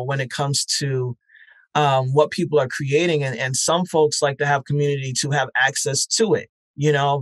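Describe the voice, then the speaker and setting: A man gives thoughtful interview answers in a medium-pitched voice. He speaks earnestly and haltingly, often repeating words while he works out a thought. The sound is dry, with very little room in it. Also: dry recording. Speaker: interview guest, festival founder. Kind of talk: reflective conversational interview.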